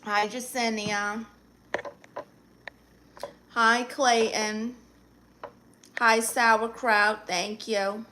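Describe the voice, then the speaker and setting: A woman runs her own live stream, greeting viewers one by one and tossing off sassy, joking replies. Her voice is bright and forceful, set a little high for a woman. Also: flat delivery, a little hiss or hum, low-quality recording. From Brooklyn, New York. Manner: sassy, playful, quick comebacks. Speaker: livestreamer. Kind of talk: casual live-stream chat, reading out viewer comments.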